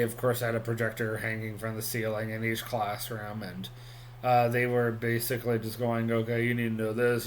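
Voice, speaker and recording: A man's voice: mid-range voice, a little hiss or hum. Rambling man in his thirties vlogging. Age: 30s. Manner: rambling, many ums and restarts. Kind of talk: casual solo vlog. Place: at home.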